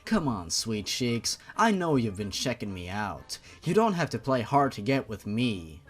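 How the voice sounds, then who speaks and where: Man putting on a slow, sleazy, cocky flirt. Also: medium pitch, sing-song, soft background music, voice pushed down. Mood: smug flirting. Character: smug, sleazy, flirtatious male killer. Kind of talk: dramatized reading of online fan fiction.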